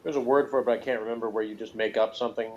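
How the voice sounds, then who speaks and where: A man's voice: lowish voice, fairly even intonation, racing, a little mellow, dull audio. A man chatting casually as a reviewer, rambling and jokey, with pop-culture asides. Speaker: podcast reviewer.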